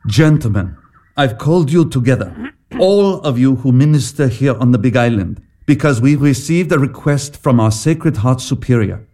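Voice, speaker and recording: An actor playing a grave, authoritative bishop who gives measured instructions in an audio drama, with music beneath. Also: male voice, mid-range voice, lively intonation, warm tone, no room sound, close to an everyday voice.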